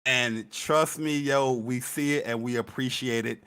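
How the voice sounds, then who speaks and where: A young man co-hosting a casual gaming podcast, energetic and hyped as he talks. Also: medium pitch, unhurried, clear, resonant tone. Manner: energetic, hype. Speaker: podcast co-host. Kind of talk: casual chat.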